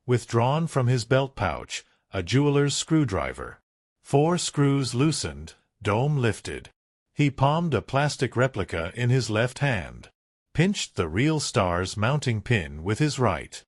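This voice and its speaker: A man's voice: mid-range voice, no echo, clean studio sound. A man narrating a scripted true-crime documentary, telling it dramatically in short, punchy phrases.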